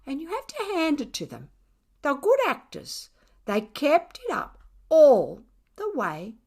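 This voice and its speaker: Give the tale to a smug, unrepentant pet cat played by a woman reader. The voice is high and swings widely, slowly savouring each sardonic phrase of a children's story. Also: wildly swooping pitch, a little mellow, exaggerated voice. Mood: wry. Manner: dramatic, sardonic storytelling with emphatic pauses. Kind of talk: children's audiobook narration.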